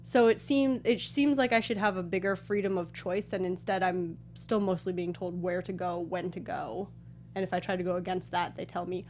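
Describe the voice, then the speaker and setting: A woman's voice: on the low side, fairly even intonation, moderate pace, a little mellow, a little hiss or hum. A woman co-hosting a talk show, chatting casually about video games.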